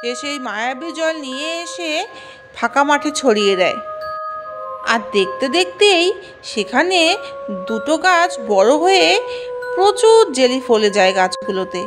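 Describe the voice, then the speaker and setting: High-pitched woman storyteller. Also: big pitch swings, a little slow, long pauses, over music. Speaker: narrator. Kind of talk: scripted children's story narration.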